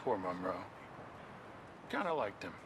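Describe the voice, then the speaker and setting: An actor playing a laconic gunslinger in a video game, casual and quietly sympathetic. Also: male voice, deep voice, very animated, measured pace. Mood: wry, low-key. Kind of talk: dramatic video game acting. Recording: clear noise behind.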